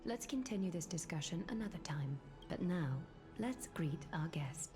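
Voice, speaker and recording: A woman's voice: lowish voice, expressive, moderate pace, natural voice. An actress voicing a regal, stern woman leading a heroic quest in a fantasy game, speaking formally and imperiously. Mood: formal, composed. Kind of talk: scripted fantasy game dialogue. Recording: game audio with music behind. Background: game music.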